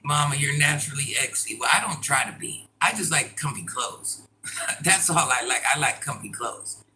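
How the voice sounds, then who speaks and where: Woman, deep voice, blunt and sassy, bright forceful tone, faint background noise. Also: expressive, normal speed, rough recording. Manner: blunt, sassy, talks straight to commenters. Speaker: online personality answering viewer comments. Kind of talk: casual livestream chat.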